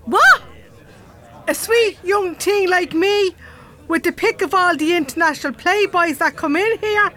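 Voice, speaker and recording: A woman's voice: very high voice, unhurried, bright, forceful tone, exaggerated voice. A woman playing a flirtatious, chatty barmaid with an Irish accent in a radio drama, playful and teasing.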